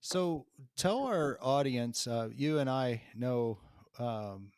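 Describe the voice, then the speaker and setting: A male host asks long, winding questions, pausing often and filling the gaps. His voice sits at a middle pitch and sounds dry and close. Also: expressive, a little mellow, clear, resonant tone, no echo. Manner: hesitant, many fillers. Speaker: interview host. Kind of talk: casual interview questions.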